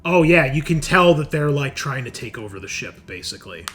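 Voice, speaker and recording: A man improvising narration as game master of a tabletop game, often halting to search for words. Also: mid-range voice, big pitch swings, crowd noise. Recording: noisy room recording.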